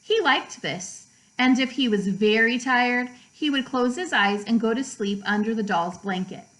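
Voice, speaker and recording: Lady reading a kids book out loud, kinda high voice, careful measured phrasing. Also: low-quality recording. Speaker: teacher reading a children's book aloud. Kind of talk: storybook read-aloud narration.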